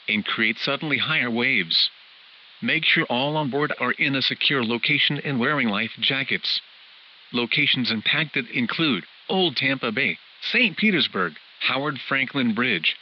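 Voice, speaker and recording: A man's synthetic text-to-speech voice reading a scripted emergency weather bulletin in a formal, clipped way, as a radio alert announcer. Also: mid-range voice. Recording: hissy, muffled weather radio broadcast.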